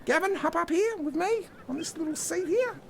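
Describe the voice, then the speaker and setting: A man's voice: extremely high pitch, expressive, normal speed, vocal fry, exaggerated voice. A man playing a cocky, chummy, teasing jet-ski rider in an improvised comedy skit, slangy and coaxing. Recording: amateur audio, some background noise.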